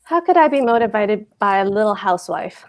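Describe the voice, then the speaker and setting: A woman's voice: mid-range voice, lively intonation, brisk, close to an everyday voice. A woman at a table read playing a pompous, dutiful husband and government clerk who declaims his opinions emphatically. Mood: smug. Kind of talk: cold table read of a stage play.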